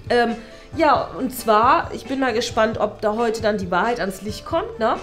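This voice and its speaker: A high female voice of a comedy actress plays an indignant staff-room gossip. She keeps interrupting herself as she builds up her point. Music runs faintly behind her. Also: animated, fairly bright tone. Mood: secretive, gossipy. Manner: halting, self-interrupting gossip. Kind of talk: improvised comedy sketch acting. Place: small meeting room.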